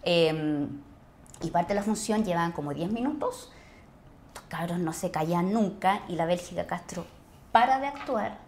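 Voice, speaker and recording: A middle-aged woman with a Chilean accent tells personal stories conversationally, expressively and with searching pauses. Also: lowish voice, expressive, a little mellow, a little hiss or hum. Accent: Chilean Spanish. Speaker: actress, interview guest.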